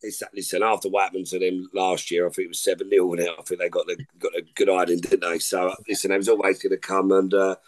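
A man's voice: low-pitched, little pitch movement, fast talker, hardly any pauses. A middle-aged man, a podcast guest chatting in a loose, rambling way, often saying "like I say" and "listen". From England. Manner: rambling, informal, repeats "like I say" and "listen". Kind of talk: casual chat.

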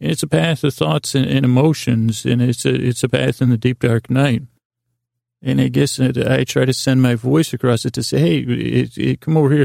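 A man hosting a bedtime podcast in a creaky, soothing voice, improvising a meandering story with halting restarts and repeated phrases. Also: mid-range voice, no echo, studio quality. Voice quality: creaky, gravelly. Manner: gentle, lulling, soothing. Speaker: host of a bedtime sleep podcast.

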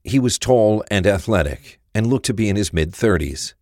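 Man, narrator, very low pitch, scripted crime story, dry close sound. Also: expressive, moderate pace, darkish tone, no room sound.

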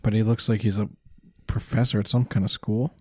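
A man's voice: lowish voice, flat delivery, brisk, dark tone, husky. A man hosting a casual comedic chat, cracking sarcastic jokes as he goes. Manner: sarcastic, wisecracking.

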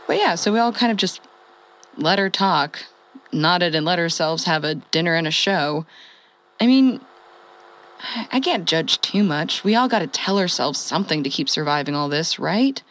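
A wry, foul-mouthed woman survivor in an audio drama. Her voice sits slightly low and swings widely in pitch. She talks in a halting, conversational way, like a former radio host sending out a log. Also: expressive, fairly bright tone, rough recording. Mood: incredulous, wry. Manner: wry, halting, emphatic, swears casually. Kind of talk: conversational comedic monologue, as a ham radio log.

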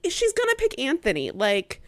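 A woman's voice: high-pitched, wildly swooping pitch, normal speed, fairly bright tone, no room sound, clean studio sound. A young woman co-hosting a podcast, chatting casually and animatedly, swearing freely and acting out what people said. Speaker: podcast co-host. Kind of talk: casual chat recapping a reality show.

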